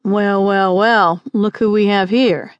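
A woman's voice: medium pitch, fairly even intonation, slow pace, clean tone, no room sound, dull audio, lightly acted. A woman voicing a stern, commanding male frontier marshal in audiobook dialogue, firm and irritable. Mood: sardonic.

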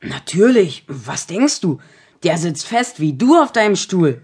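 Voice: mid-range voice, big pitch swings, measured pace, darkish tone, husky, dry recording, studio quality, full character voice. A performer playing a cheeky, crafty young boy with a nonchalant, sly manner in a German children's audio drama. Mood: feigned innocence. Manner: nonchalant, sly, feigning innocence.

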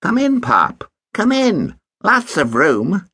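A man's voice: sits high, huge pitch swings, takes it slowly, pushed and bright, slightly rough, dry recording, clean studio sound, pitched above the performer's own voice, full character voice. A man voicing a lazy, cheeky stepson who needles his stepfather in a complacent tone. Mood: smug. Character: smug chubby boy, lazy and cheeky.

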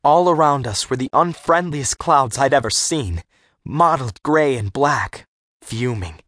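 A man with a medium-pitched voice tells a first-person adventure story. He reads expressively and articulates clearly, in a very dry studio sound suited to a young readers' audiobook. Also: lively intonation, measured pace, fairly bright tone, dry recording. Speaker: audiobook narrator.

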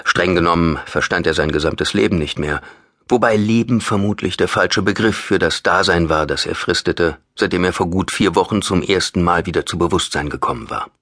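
A man's voice: sits low, brisk, a bit bright, no room sound, clean studio sound. A man with a standard German accent reading a thriller audiobook as dramatic scripted narration.

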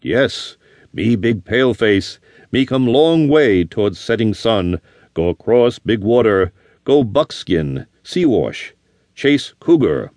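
A man's voice: fairly low pitch, sing-song, slow, a little mellow, no echo. A man playing a hearty old buffalo hunter. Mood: earnest boasting. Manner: earnest, broken pidgin talk. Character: big, strong, good-natured old buffalo hunter.

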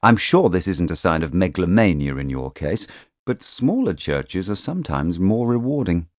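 A man voicing a sinister postcard writer, politely taunting, measured and insinuating in a storybook reading. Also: deep voice, sing-song, a little mellow, no echo, studio quality. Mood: suavely polite. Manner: measured, insinuating, mock-courteous.

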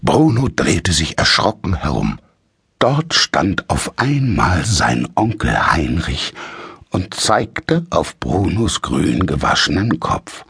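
A man reads a children's tale in a very deep, husky voice. His delivery is slow and full of dramatic swings in pitch, with a close, dry sound. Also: no room sound. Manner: slow, expressive, dramatic storytelling. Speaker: audiobook narrator. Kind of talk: scripted children's story narration.

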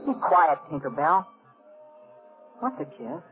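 A man's voice: on the high side, animated, slow pace. A man voicing a cocky, impatient storybook boy in an old radio play, blunt and bossy. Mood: annoyed. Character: cocky, impatient, adventurous storybook boy who never grows up. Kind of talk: old-time radio acting. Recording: narrow-band old radio recording, loud music.

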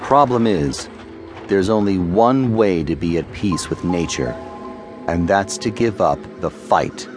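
An actor playing a philosophical, sardonic young fighter pilot in an audio drama, measured and dry. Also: male voice, sits low. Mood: brooding, grave. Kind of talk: audio drama narration and dialogue. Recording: music behind the voice.

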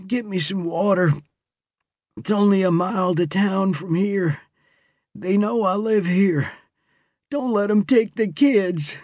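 A wounded outlaw at the end of his strength, put on by a man reading a storybook aloud. His voice sits raised and thin, halting and trailing off as he pleads, in a husky, dry recording. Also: high voice, darkish tone, no echo, voice pushed up. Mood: weak, pleading. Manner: faint, halting, breaking off mid-sentence. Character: dying male outlaw, weak and pleading.

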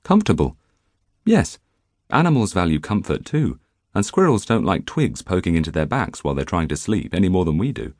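Deep male voice, very lively intonation, scripted audiobook narration, expressive storyteller, dry close studio sound. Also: brisk, no echo. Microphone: close studio microphone.

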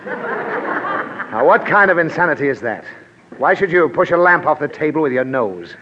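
A man's voice: a little high, sing-song, normal speed. An actor playing an exasperated father who blusters and lectures his child, in 1940s radio comedy acting. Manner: blustering, lecturing, easily flustered. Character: exasperated middle-aged father. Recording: dull old radio broadcast, faint hiss.

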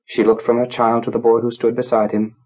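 A man narrating a literary story from a script, in a measured, grave, dramatic storytelling manner. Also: mid-range voice, level tone, darkish tone. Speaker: audiobook narrator.

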